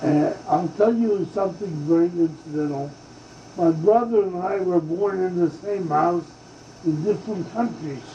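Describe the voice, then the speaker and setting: An older man from Hungary, recalling his family's past in an oral history interview, speaking haltingly with many fillers and restarts. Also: high-pitched, warm tone, a little hiss or hum. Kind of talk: slow reminiscing oral history. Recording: dull lo-fi tape in a roomy space.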